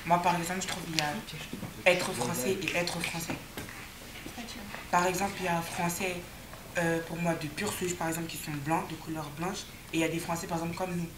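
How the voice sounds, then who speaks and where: A teenage girl in a casual classroom debate, talking slowly and measuredly, in an echoey room with background noise. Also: lowish voice, little pitch movement, a crowd in the background. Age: teens. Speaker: student in a group discussion.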